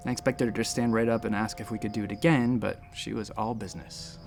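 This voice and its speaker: An actor playing an anxious, wry space station doctor who rambles and halts in a sci-fi audio drama. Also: male voice, on the low side, fairly even intonation, gravelly, darkish tone, soft background music. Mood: rattled, reflective. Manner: rambling, halting, self-interrupting. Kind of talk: audio drama acting, conversational narration.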